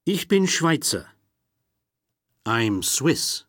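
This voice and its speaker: Slow, husky man reading lesson phrases. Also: medium pitch, sing-song, a bit bright, no room sound, studio quality. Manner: slow, deliberate, carefully spoken phrases. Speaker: language course speaker. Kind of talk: scripted language-course reading.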